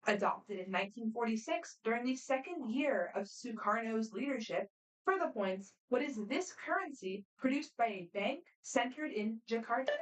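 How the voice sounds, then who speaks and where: A woman moderating a student quiz competition, reading questions aloud in a brisk, friendly, matter-of-fact way. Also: on the high side, expressive. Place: competition room. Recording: voice picked up from a distance.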